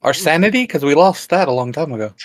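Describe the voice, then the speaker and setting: A man's voice: a little high, lively intonation, fast talker. A man co-hosting a casual chat with jokey, teasing banter. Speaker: co-host.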